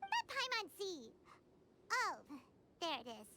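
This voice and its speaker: A woman voicing a tiny, childlike, excitable and blunt game companion in an expressive, squeaky way. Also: slow, noisy background, full character voice. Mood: curious. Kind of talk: video game voice acting.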